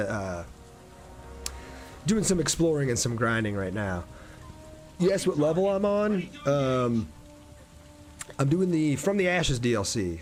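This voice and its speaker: A man casually commentating on a game livestream and chatting with his viewers. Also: medium pitch. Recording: clear background noise, game ambience.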